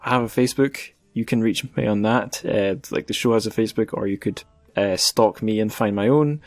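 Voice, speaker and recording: Casual podcast host, lowish-voiced man. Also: brisk, no room sound. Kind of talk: casual podcast outro and chat.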